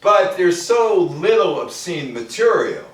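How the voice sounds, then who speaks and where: Man, lecture from notes, rhetorical asides, halting delivery, heavy room echo, fairly high voice. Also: expressive. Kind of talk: public talk read from notes with asides. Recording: very echoey room, distant microphone.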